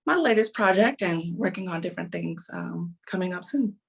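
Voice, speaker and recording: A woman guest talking casually in an interview, phrasing her thoughts in a halting, thoughtful way. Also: fairly low pitch, expressive, creaky, slightly rough. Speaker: interview guest, author and indie publisher.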